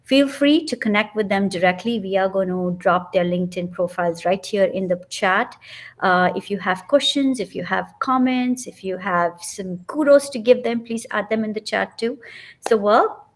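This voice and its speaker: A woman's voice: medium pitch, normal speed. A woman hosting a live online panel, upbeat and inviting as she introduces the speakers over a video call. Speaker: panel host.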